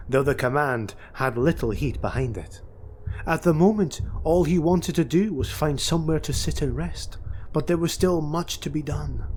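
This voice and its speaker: A man narrating a fantasy audiobook with measured, deliberate, dramatic storytelling. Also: mid-range voice, darkish tone, slight background noise.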